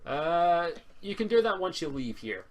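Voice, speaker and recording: A man's voice: a little high, sing-song, measured pace, slight background noise. A man narrating a tabletop roleplaying game as the game master.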